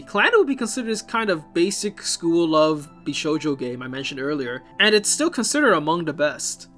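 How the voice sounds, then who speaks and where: A man's voice: on the high side, a bit bright. A man narrating a scripted video essay as its host, opinionated and given to wry asides, with music playing behind him. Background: background music.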